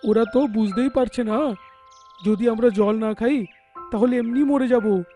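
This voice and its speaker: Man voicing a very high-pitched cartoon animal. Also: measured pace, dark tone. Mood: desperate, defiant. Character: animal characters of a children's fable: tiger king, elder elephant, young deer. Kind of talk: dubbed cartoon dialogue. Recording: background music under the voice.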